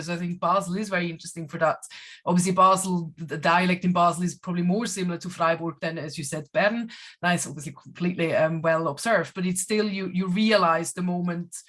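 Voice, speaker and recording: A woman's voice: lowish voice, fairly bright tone. Woman, Swiss German accent, German teacher, video call panel, reflective, restarts a lot. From Switzerland. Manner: thoughtful, reflective, many fillers and restarts. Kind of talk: online panel discussion.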